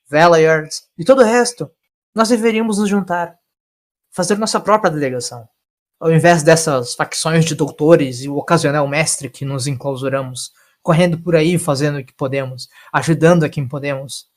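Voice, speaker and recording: A man's voice: fairly high pitch, close to an everyday voice. A man voicing an impassioned, idealistic aristocratic time traveler making emphatic speeches in an audio story. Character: impassioned aristocratic male Doctor, idealistic and impatient.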